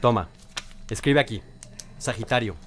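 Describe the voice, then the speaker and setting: An actor playing a corrupt, intimidating police boss in an audio drama, giving menacing orders. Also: male voice, medium pitch, normal speed, fairly bright tone, close to an everyday voice. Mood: impatient, commanding. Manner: menacing, commanding. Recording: noisy room with clicks.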